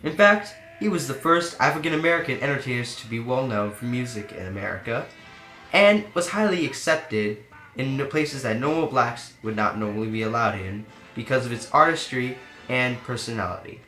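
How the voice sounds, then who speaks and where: A man's voice: mid-range voice, animated, normal speed, bright, forceful tone. Male documentary-style newsreel narrator reading a script, roomy sound, light musical bed. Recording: echoey room sound. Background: faint music.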